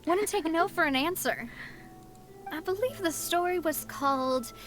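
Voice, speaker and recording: A woman's voice: extremely high pitch, lively intonation, a little slow. A woman voicing a confident, cheerful young noblewoman leader in an anime-style video game, with a breezy, self-assured, theatrical delivery. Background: game music.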